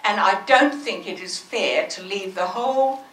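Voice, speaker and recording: An older woman with a loud voice, making a forthright, plain-spoken comment from the floor of an auditorium. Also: mid-range voice, a little slow, distant mic. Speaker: audience member. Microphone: handheld mic. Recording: echoey hall, faint noise.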